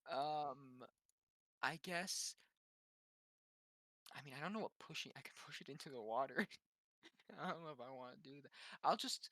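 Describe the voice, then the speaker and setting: A man's voice: mid-range voice, normal speed, pauses often, pushed and bright, dry recording. A man chatting casually as a tabletop game player, hesitantly talking through his choices. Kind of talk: casual chat during a game.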